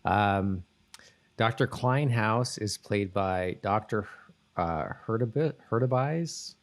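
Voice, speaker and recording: A man co-hosting a podcast in a casual chat, informal and thinking aloud as he goes. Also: on the low side. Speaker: podcast co-host.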